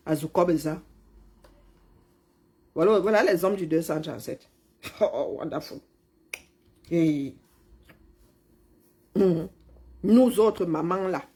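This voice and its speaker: A woman's voice: fairly low pitch, a little mellow. A middle-aged woman, mockingly scolding a politician in a heated live-stream rant. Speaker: commentator replying to a politician.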